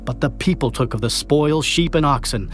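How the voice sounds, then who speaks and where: A man's voice: a little high, normal speed, faint music behind, close to an everyday voice. An actor playing a proud male king insisting he has obeyed, in a dramatized scripture reading. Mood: defensive. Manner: defensive, insistent. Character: Saul, a proud, self-justifying male king.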